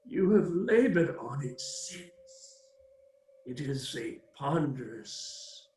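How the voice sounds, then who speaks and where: An actor playing the mournful, doom-laden ghost of a dead businessman in a theatrical radio play, slow and declamatory with a wailing edge. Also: fairly high pitch, very animated, a little mellow. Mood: grave, mournful. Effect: cavernous echo on the voice. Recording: echoing, distant-sounding voice.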